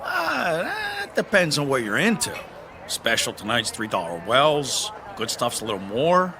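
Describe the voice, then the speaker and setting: A man's voice: mid-range voice, wildly swooping pitch, unhurried. A man playing a chatty bartender in an audio drama, with a folksy, casual and informal manner. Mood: friendly, casual. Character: chatty, easygoing male bartender. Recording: noisy bar-like ambience.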